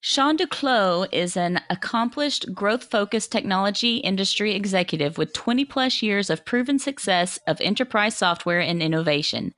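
A woman co-hosting a business podcast, her voice a little scratchy from a cold coming on. She reads the guest introduction in a measured way and then asks friendly questions. The sound is dry and close, with no room echo. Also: medium pitch, animated, fairly bright tone. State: slightly hoarse, coming down with a cold. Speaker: podcast co-host.

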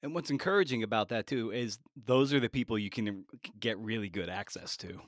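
A man's voice: on the low side, a little fast, no echo, studio quality. A man hosting a podcast interview in a casual, conversational style.

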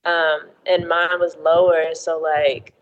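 A woman singer talking as a podcast guest, with a deeper tone, hesitant and reflective. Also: level tone, slow, narrow, tinny audio. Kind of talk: casual interview chat.

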